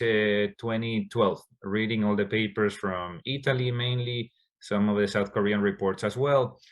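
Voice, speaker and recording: A man from Ecuador, a Spanish speaker, answering interview questions as a doctor, halting with many fillers and restarts. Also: lowish voice, a bit bright. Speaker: endocrinologist guest.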